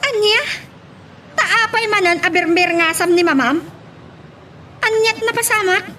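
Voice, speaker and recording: A woman's voice: very high voice, unhurried, pushed and bright, exaggerated voice. A woman playing an inquisitive, peacemaking aunt in a radio drama, full of questions and exclamations. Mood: curious, concerned. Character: inquisitive, conciliatory aunt questioning her angry niece. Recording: clear background noise, ambience.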